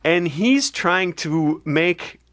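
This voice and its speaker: Male podcast host, slightly high voice, rambling casual banter. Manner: rambling, quick, animated storytelling. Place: basement studio.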